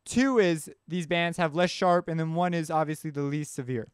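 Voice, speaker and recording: A man's voice: on the high side, steady tone, moderate pace, clear, resonant tone, dry recording, clean studio sound. A man giving a casual, informal tutorial, explaining things as he thinks aloud. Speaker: music production tutorial instructor. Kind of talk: casual explanatory screencast narration.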